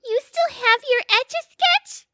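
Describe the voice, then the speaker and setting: A woman's voice: extremely high pitch, unhurried, pushed and bright, dry recording, exaggerated voice. A woman acting a ditzy, bubbly young waitress in a comedic audio play, gushing and naive.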